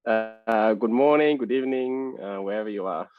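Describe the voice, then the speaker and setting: A man in his thirties from Sudan, answering interview questions in a measured, reflective way. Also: lowish voice, narrow, tinny audio. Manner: measured and reflective, pausing to gather thoughts. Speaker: interview guest.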